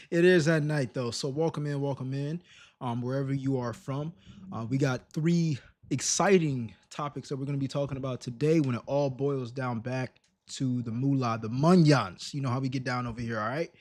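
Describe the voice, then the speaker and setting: A man hosting a casual reaction livestream, slangy and emphatic with rhetorical questions, in a slightly raspy voice. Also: mid-range voice, moderate pace, a little mellow. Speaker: live stream host reacting to videos.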